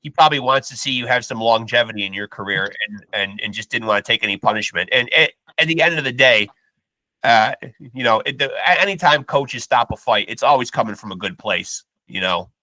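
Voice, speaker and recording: A man's voice: medium pitch, animated, brisk, pushed and bright, dry recording, rough recording. A man hosting a boxing podcast, asking questions in a laid-back way, stammering on restarts and calling his guest "man.". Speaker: podcast host. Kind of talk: casual interview.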